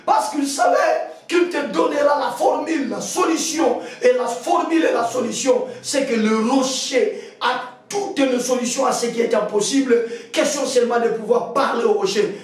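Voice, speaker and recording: An impassioned male preacher with a very high-pitched voice leads prayer with commanding, repetitive urgency. He often pushes into shouting, and his voice rings with heavy echo, picked up at a distance from the microphone. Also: normal speed. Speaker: preacher leading a prayer meeting. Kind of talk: fervent exhortation and prayer, insistent repetition. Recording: very echoey hall, distant from mic.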